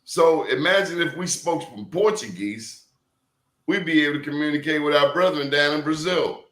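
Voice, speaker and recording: A man's voice: a little high, normal speed, a bit bright, echoey room. A man talking as a professor guest in casual conversation, telling emphatic stories and throwing out rhetorical questions.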